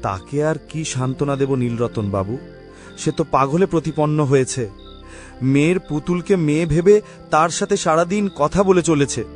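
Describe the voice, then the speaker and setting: Guy playing a sad burdened dad in a cartoon, highish voice, soft music behind. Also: moderate pace, lightly acted. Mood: despondent. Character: sorrowful, burdened husband and father. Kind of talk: melodramatic cartoon voice acting.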